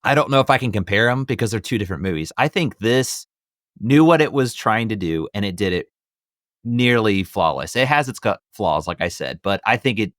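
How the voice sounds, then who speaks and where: Guy in his 30s doing a movie review podcast, kinda deep, no room echo, rambles with emphasis. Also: lively intonation, a little fast, fairly bright tone, clean studio sound. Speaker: podcast host. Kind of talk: casual film review chat.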